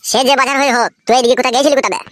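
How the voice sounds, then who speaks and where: A man's voice: very high-pitched, steady tone, racing, a bit bright. A man playing a suspicious, foul-mouthed village friend in a comedy cartoon. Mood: suspicious, scolding.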